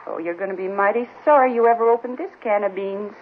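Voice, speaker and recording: A woman's voice: medium pitch, fast, lightly acted. A woman playing a huffy fussy spinster. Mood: indignant. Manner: huffy, scolding, easily offended. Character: indignant fussy unmarried woman reporting stolen car. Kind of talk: 1950s radio drama acting. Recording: tinny lo-fi old radio broadcast with hiss.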